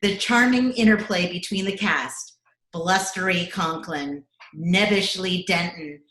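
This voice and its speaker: A woman announcing in a scripted old-time radio style, measured and deliberate, recorded over a video call. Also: fairly low pitch, a bit bright. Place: recorded remotely at home. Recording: echoey room on video call.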